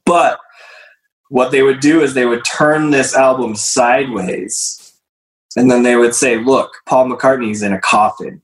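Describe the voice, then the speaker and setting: A man presenting slides in a casual group discussion, losing his voice, clearing his throat and filling gaps with hesitant "um"s. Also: medium pitch, fairly even intonation, unhurried, roomy sound, poor audio. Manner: hesitant, many fillers.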